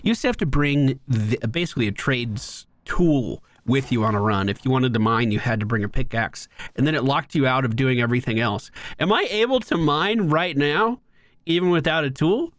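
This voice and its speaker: A man hosting a gaming video with playful, excited, casual commentary, joking along with the game. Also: medium pitch, moderate pace, no echo. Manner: playful, jokey, talks to the game.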